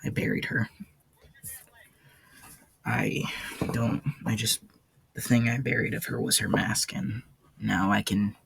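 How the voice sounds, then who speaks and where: A man playing a weary, haunted ancient warden in an audio log, speaking in a halting, somber way with a gravelly, husky low voice. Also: fairly bright tone, dry recording, heavily acted, poor audio. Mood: grieving. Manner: halting, somber, hesitant delivery. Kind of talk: character audio log, slow monologue.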